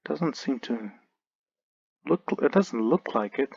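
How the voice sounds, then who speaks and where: A man's voice: medium pitch, expressive, unhurried, vocal fry, darkish tone, slightly rough, lo-fi sound. A man giving casual, off-the-cuff commentary as he plays and reviews a game. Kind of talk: casual let's play commentary.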